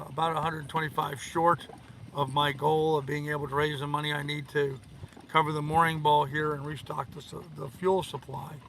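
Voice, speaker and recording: An older man with a hoarse voice, hosting a rambling, casual live stream in a halting, repetitive way. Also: a little high, rough recording. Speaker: live-stream host of a sailing channel. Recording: loud wind and boat noise.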